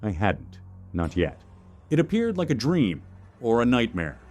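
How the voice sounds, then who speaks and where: A man reads a scripted first-person horror story in a measured, suspenseful way, using short clipped phrases. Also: lowish voice, huge pitch swings, darkish tone. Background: music under the voice.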